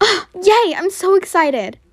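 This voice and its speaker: Woman as an eager, high-voiced schoolgirl. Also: big pitch swings, takes it slowly, no room sound, full character voice. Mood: excited. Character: brave, eager young schoolgirl heroine.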